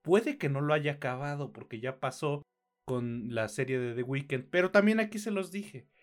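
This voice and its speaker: A man's voice: mid-range voice, expressive, moderate pace. A Mexican man co-hosting a podcast, reviewing films and series in a blunt, casual, slangy way.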